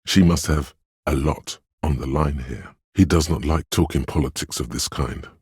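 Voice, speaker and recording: A man voicing a grave, compassionate inner voice of empathy, narrating a game in a measured, intimate, solemn way. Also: dry recording, studio quality, exaggerated voice. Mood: grave, perceptive. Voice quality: very deep, velvety, husky. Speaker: game voice actor. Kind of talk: scripted second-person game narration.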